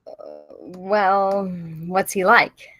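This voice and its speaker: A woman's voice: medium pitch, level tone, very slow, fairly bright tone. A woman reading the part of a playful, curious yet guarded wife in a film script, casual and teasing. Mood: doubtful. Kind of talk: film script table read, naturalistic dialogue.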